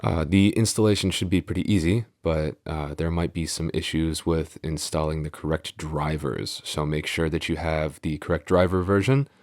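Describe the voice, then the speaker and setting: A man playing a shy, easily flustered tsundere boyfriend and office coworker in an intimate audio roleplay, with a halting, stammering delivery. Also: a bass voice, steady tone, darkish tone, no room sound. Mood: businesslike, hesitant.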